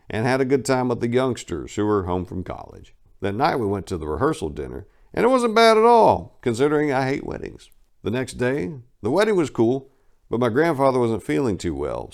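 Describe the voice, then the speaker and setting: Deep-voiced man narrating a memoir wryly. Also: vocal fry, a little mellow. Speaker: narrator reading a memoir aloud. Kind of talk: first-person dramatic reading.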